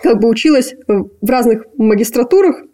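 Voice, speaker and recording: A young woman from Kazakhstan, a graduate student, talking casually in an interview with many pauses and fillers. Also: on the high side, animated, mellow. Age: young adult. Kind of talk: casual conversation.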